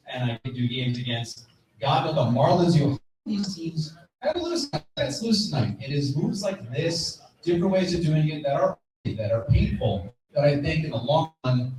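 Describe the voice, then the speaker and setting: A man's voice: a little high, darkish tone, distant mic. A man on a live sports panel, giving his opinion in emphatic, dramatic phrases. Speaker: baseball panelist. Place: live convention panel stage. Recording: echoey room, off mic, crowd behind. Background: live audience.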